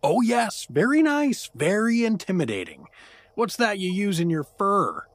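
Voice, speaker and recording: A man plays a doughy, middle-aged dad hero in a fantasy audio drama. He is mocking and sarcastic, swinging widely in pitch, recorded close and dry. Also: sing-song, measured pace, no room sound.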